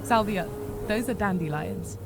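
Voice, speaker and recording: Level-headed young rabbit heroine played by a woman, mid-pitched. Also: measured pace, lightly acted. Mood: concerned, frank. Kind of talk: character acting in a fantasy visual novel. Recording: music behind the voice.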